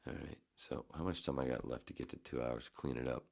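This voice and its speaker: A man's voice: extremely low pitch, brisk. A man hosting a solo podcast, talking in a rambling, profane, self-deprecating way. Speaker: podcast host. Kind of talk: casual solo podcast monologue.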